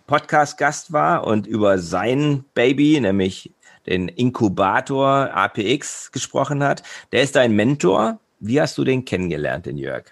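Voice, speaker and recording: A man hosting a podcast interview. His voice swings widely in pitch as he reacts with enthusiasm. He fires off short questions to his guest. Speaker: podcast host.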